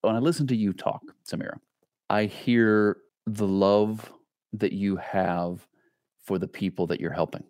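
Very deep-voiced male podcast host. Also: fairly even intonation, a little slow, darkish tone, no room sound, studio quality. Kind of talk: interview podcast hosting.

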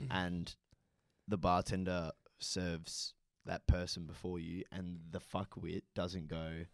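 A young man on a podcast, telling loose, profane stories in a fairly low voice. The sound is close and dry, with no room around it. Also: takes it slowly, no echo. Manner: crude, swearing, animated storytelling. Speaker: podcast co-host. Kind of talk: casual chat.

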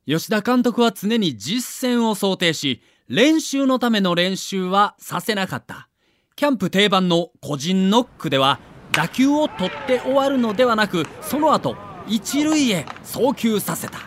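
A man's voice: high-pitched, lively intonation, unhurried, fairly bright tone, no echo, clean studio sound. A man giving dramatic scripted narration for an audio documentary.

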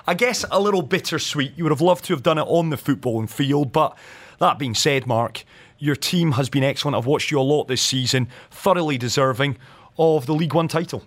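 A man's voice: a little high, brisk. A man with a Scottish accent hosting a radio sports show, upbeat and enthusiastic as he interviews a guest. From Scotland.

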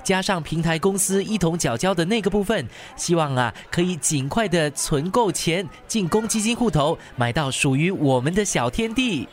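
A man's voice: fairly high pitch, animated, moderate pace, few pauses, soft background music, lightly acted. A man acting in a radio drama as a devoted, effusive young husband. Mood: earnest, reflective. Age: young adult. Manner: effusive, melodramatic.

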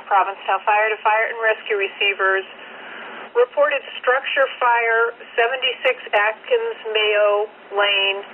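A woman's voice: a little high, unhurried. A woman working as a fire dispatcher, making formal, procedural emergency announcements over two-way radio and repeating key details. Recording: tinny, noisy emergency scanner radio.

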